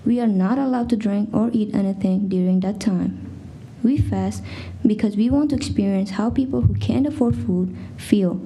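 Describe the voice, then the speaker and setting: A teenage girl, a Farsi native speaker, reading a prepared statement at a school board meeting with careful, steady delivery. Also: on the high side, breathy edge, low-quality recording. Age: teens. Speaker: student speaker.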